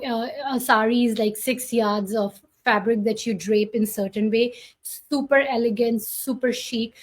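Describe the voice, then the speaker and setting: A woman, a fashion designer chatting enthusiastically over a video call and speaking straight to viewers. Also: on the high side. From India. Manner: enthusiastic, addresses viewers directly. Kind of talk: casual chat.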